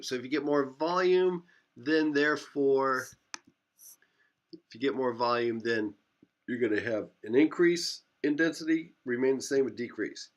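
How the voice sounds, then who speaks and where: A man's voice: medium pitch, a bit bright. A man teaching a physics class, rambling and correcting himself as he talks. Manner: rambling, self-correcting, repeats phrases. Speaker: physics instructor. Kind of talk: classroom lecture.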